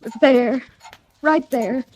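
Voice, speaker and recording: A woman's voice: sits high, slow pace, darkish tone, soft background music. An actress playing a frightened woman in love with a fugitive, pleading anxiously in 1940s radio thriller style on a noisy old recording.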